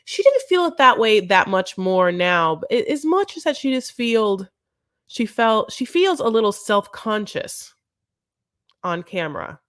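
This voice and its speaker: A woman's voice: mid-range voice, very animated, measured pace, fairly bright tone, dry recording, clean studio sound. A woman hosting a casual live recap chat, rambling and campy with lots of asides. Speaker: show recap host.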